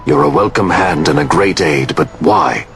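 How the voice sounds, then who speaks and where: A grave veteran knight with a very deep voice. He speaks in a measured, steady way while a film score plays. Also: gravelly, soft background music, heavily acted. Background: orchestral score.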